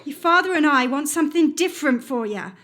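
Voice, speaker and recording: A woman playing a doting but sharp-tongued working-class mother in a radio play, affectionate and scolding. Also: high-pitched, expressive, fairly quick. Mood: fond. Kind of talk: stage radio-play acting.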